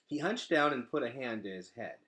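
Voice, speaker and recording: A man reading a children's novel aloud as an expressive storyteller. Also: mid-range voice, a little fast.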